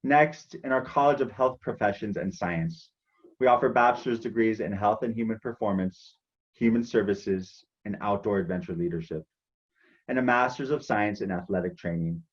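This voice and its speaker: Low-voiced man giving a presentation. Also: husky, dull audio. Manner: measured, listing programs. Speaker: international outreach presenter. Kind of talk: scripted presentation.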